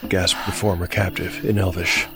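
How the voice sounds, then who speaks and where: A man's voice: low voice, little pitch movement, moderate pace. A man hosting and narrating a fantasy game audio drama in a scripted storytelling style. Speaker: podcast host, game master and narrator. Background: music bed.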